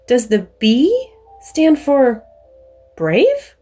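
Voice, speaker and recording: A drowsy, dignified great horned owl speaks in slow hoots from a children's storybook, as a woman reads her part. The voice rises and falls a lot. Quiet music plays underneath. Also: high voice, wildly swooping pitch, slightly rough, pitched above the performer's own voice, exaggerated voice. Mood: curious.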